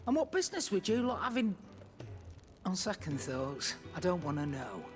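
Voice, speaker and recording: A woman's voice: on the low side, sing-song, normal speed. A woman playing a nosy, salty tavern keeper in a fantasy video game, with a regional English accent and blunt, colloquial talk. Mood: brusque, gossipy. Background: music.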